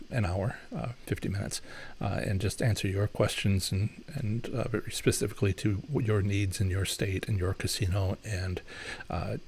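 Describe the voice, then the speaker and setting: A man's voice: fairly low pitch, gravelly, darkish tone, husky. A man hosting a live question-and-answer stream, talking loosely with many fillers and restarts as he explains and gives advice. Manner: explanatory, advising. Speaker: host answering viewer questions. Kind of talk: live Q&A chat.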